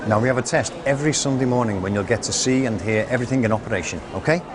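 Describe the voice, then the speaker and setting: A man playing an experienced station supervisor, calmly and methodically instructing a new recruit in a scripted training film, recorded over heavy noise. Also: mid-range voice, very fast, natural voice. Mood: instructive. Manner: methodical, checks understanding.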